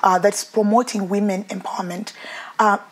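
A woman's voice: mid-range voice, steady tone, normal speed, slight background noise. A woman, hosting a TV talk show with an enthusiastic, effusive manner, on a lapel mic. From Zimbabwe. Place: indoor TV studio set, seated in armchair.

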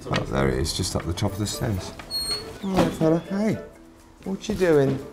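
A man rescuing a fox from a restaurant stairwell, chatting calmly to the camera and coaxing the animal softly. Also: medium pitch, moderate pace, over loud music. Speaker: wildlife rescuer. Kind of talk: on-the-job commentary.

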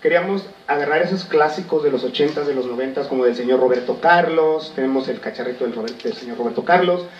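A man's voice: medium pitch, quick pace, few pauses, slight background noise. A man from Michoacán, a band member promoting his group, talking eagerly into a handheld mic. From Michoacán, Mexico. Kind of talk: promotional interview talk. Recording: roomy, distant, dull sound.

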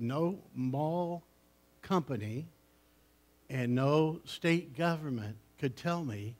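An older man, a pastor, preaching a story-filled sermon in a church sanctuary, rising loud on key phrases and dropping low, recorded from a camera at the back. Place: church sanctuary with seated congregation. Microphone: far camera at the back of the church.

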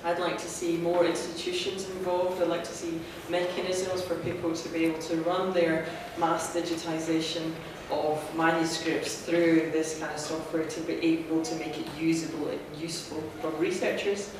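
A woman's voice: fairly low pitch, distant mic. Woman in echoey hall, fluent panel talk. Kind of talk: conference panel remarks. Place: conference room, seated at panel table. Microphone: handheld mic. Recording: echoey room heard from afar, noisy.

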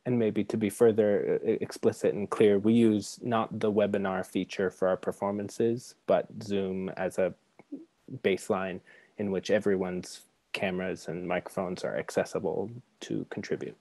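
A man in his thirties speaks as a panelist on a video call, explaining carefully and articulately. His voice sits a bit low and the sound is dry, picked up by earbuds. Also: level tone, moderate pace, dark tone, no echo. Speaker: panelist, theatre practitioner. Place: bright room at home, video call. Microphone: earbuds mic.

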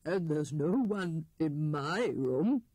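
A woman's voice: low-pitched, animated, slow, warm tone, husky, dry recording, pitched below the performer's own voice, full character voice. A woman voicing a bold, boastful male hare in a storybook reading. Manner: bold and self-assured.

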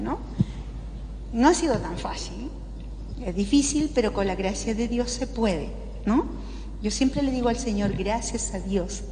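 A woman's voice: a little high, a little mellow. An older woman with a Chilean accent preaches as a religious sister to a live audience, conversationally, often checking in with tag questions. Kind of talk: informal spoken sermon to a live audience. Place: large indoor parish hall. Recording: echoey hall with clear background noise.

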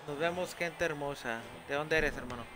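Mexican dude on a headset streaming, kinda high voice, swears a lot, game music going. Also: male voice, moderate pace, bright, forceful tone. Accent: Mexican Spanish. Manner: chatty, swears when frustrated, reads game lines aloud. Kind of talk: casual gameplay commentary, reading chat. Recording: game music playing behind.

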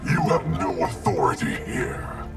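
An actor playing a cold, vengeful undead ninja in a fighting game, with a gravelly, distorted growl and threatening delivery. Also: male voice, unhurried, lightly acted. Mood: menacing. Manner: threatening, declamatory. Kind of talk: fighting game character dialogue. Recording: loud music behind.

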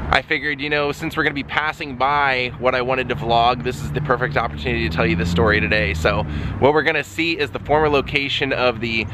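A man in his thirties, a travel vlogger talking casually to a handheld camera outdoors, telling a story while his voice starts to go. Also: mid-range voice. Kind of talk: casual vlog narration. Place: outdoors on a sunny street. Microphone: handheld camera at arm's length. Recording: outdoor traffic noise behind.

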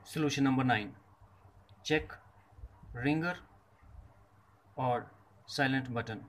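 Slow-paced man narrating a tutorial. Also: medium pitch, a bit bright, slight background noise. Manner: instructional, short separated phrases. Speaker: tutorial presenter. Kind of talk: scripted step-by-step tech tutorial narration.

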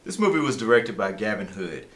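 A man's voice: fairly low pitch, sing-song, brisk, a bit bright. An American-accented man in his thirties, co-hosting a conversational film review in a small room.

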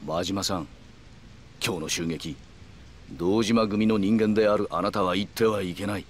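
An actor playing a calm, calculating middle-aged yakuza man who speaks in polite, measured, formal phrases in a video game. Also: low voice, slight background noise, close to an everyday voice. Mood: cool, persuasive. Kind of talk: Japanese video game cutscene acting.

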